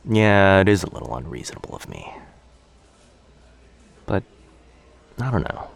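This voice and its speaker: A man playing a shy, bookish, gentle young stranger in an intimate boyfriend ASMR roleplay, speaking softly, breathily and hesitantly. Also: low voice, big pitch swings, a little slow, exaggerated voice. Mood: anxious, apologetic. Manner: hesitant, soft-spoken, breathy, self-effacing.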